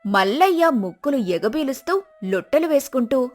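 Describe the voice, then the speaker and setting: A woman reading a folk story as narrator, steady and storytelling. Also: high-pitched, moderate pace, soft background music.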